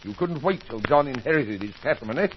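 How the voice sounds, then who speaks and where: A man's voice: medium pitch, animated, fast, lightly acted. A man playing a shrewd, grave doctor who investigates a crime in an old-time radio mystery. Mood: accusing. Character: shrewd doctor sleuth, grave, certain, accusing. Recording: muffled, noisy old radio recording.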